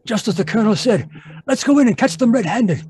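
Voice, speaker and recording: A man's voice: high voice, lively intonation, a little fast, a little mellow. A man playing an earnest, easily alarmed doctor and detective's sidekick, fussy and old-fashioned, in radio mystery style. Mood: alarmed, indignant.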